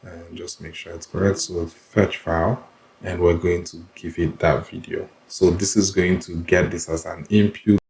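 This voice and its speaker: Man, very deep voice, monotone, coding tutorial, step-by-step explaining. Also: moderate pace. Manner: explains step by step, repeats phrases. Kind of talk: screen-recorded coding walkthrough.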